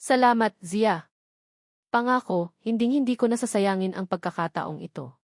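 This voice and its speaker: A woman's voice: mid-range voice, normal speed, no room sound, clean studio sound, lightly acted. A woman with a Filipino accent voicing a remorseful, devoted husband in a scripted audio drama, in an earnest, pleading manner. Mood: pleading, hopeful.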